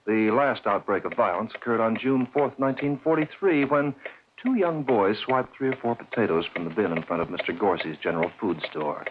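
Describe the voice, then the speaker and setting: A man's voice: mid-range voice, natural voice. A man as a wry old-time radio narrator. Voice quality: sardonic, measured. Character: wry male narrator personifying chance. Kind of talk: 1940s radio drama narration. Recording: tinny old radio recording, hiss.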